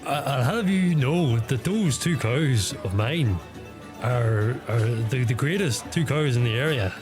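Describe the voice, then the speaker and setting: An actor playing a stammering, proud Irish small farmer in a radio play. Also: male voice, mid-range voice, lively intonation, measured pace, close to an everyday voice. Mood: defensive pride. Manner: stammering, repeating words. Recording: music under the voice.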